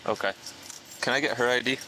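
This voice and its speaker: A man's voice: mid-range voice, fast. A man working as a police officer, questioning a driver at a traffic stop, recorded on body camera audio.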